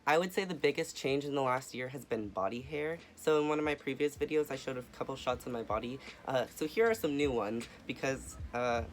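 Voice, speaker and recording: A young man talks softly and earnestly into his camera, filling gaps with ums and uhs. His voice sits at an ordinary middle pitch for a man. Light music plays faintly behind him. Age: young adult. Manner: soft-spoken, earnest, with frequent fillers. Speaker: vlogger. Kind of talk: casual personal update.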